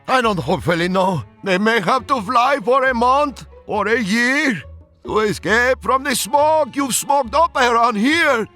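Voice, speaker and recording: A man voicing an indignant, grumpy old woodland creature from a rhyming storybook, with a croaky, scolding, exclamatory delivery. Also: extremely high pitch, expressive, unhurried, sharp tone, soft background music, voice pushed up, heavily acted. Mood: sorrowful, complaining.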